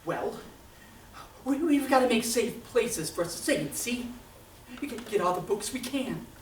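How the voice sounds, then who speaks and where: Echoey distant stage recording, woman acting as bitter manic artilleryman, high voice, stammering, excitable, old-time radio drama. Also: normal speed, slight background noise, natural voice. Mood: manic, grandiose. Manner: excitable, stammering, folksy, bursting into shouts. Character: manic, bitter male artilleryman dreaming of ruling the world. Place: stage performance in a roomy theatre. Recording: echoey stage, captured from far off.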